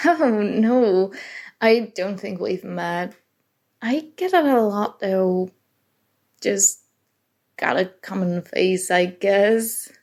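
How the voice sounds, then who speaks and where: Woman playing a flustered nerdy college roommate, highish creaky voice, young, halting, self-deprecating, ASMR roleplay. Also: heavily acted. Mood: flustered, awkward. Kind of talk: ASMR roleplay, acted casual conversation.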